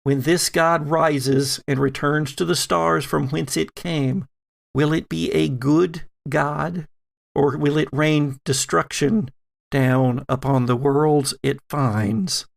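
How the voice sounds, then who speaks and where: An elderly grandmother played by a man speaks slowly and gravely for a tabletop story. The voice creaks, and weighty pauses fall between phrases as this solemn mystic pleads. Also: on the high side, fairly even intonation. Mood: solemn, foreboding.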